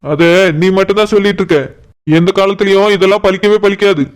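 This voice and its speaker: Man playing a high, clear, defiant young servant. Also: fairly even intonation, fast, lightly acted. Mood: defiant, taunting. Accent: Kanyakumari Tamil. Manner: taunting, firm. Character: defiant, unafraid young male house servant. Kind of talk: village cartoon drama acting.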